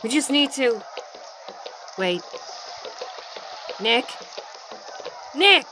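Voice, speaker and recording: Radio drama: anxious, protective female doctor actress, serious, higher voice, noisy ambience behind. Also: big pitch swings, very slow, heavily acted. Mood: urgent. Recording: clear outdoor ambience behind voice.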